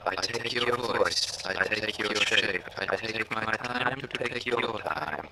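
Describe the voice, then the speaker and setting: A man's voice: fairly low pitch, slow pace. A man playing a ruthless, hungry hollow creature in an audio drama, taunting with cryptic, repetitive menace. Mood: gloating. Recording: echoing voice with faint background noise.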